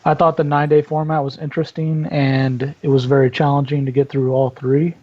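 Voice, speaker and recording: A man chatting casually as a podcast guest in a relaxed, laid-back way. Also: fairly high pitch, fairly quick, muffled recording. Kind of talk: casual chat.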